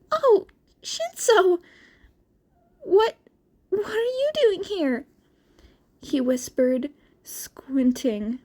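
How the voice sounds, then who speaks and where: A woman with a fairly high voice narrates a story as an amateur audiobook reader. Her reading is expressive and very unhurried.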